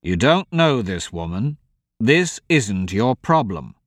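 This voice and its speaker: A man voicing a brooding, cynical rogue in a fantasy audiobook, speaking curtly in a dry deadpan. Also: slow pace, dry recording, heavily acted. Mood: disapproving. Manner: low-key, dry, deadpan. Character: cynical, brooding male rogue, curt and unsentimental.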